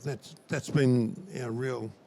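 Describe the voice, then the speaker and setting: An older man answering as a company founder in an interview, speaking in a halting, measured way. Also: medium pitch, fairly even intonation, creaky, dark tone. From Australia. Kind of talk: conversational business interview. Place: interview lounge at a trade show. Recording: faint background ambience noise.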